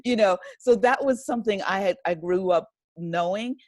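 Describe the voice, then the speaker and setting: An American woman speaking casually as an interview guest, halting and often rephrasing, with plenty of "you know". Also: medium pitch, a little mellow, dry recording. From United States, a rural town. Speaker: interview guest, writer and director of an audio drama.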